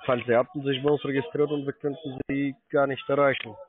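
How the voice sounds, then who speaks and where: Fast insistent salesman on a tinny phone line. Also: medium pitch, flat delivery, a crowd in the background. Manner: insistent, impatient. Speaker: call centre salesman. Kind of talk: cold sales phone call.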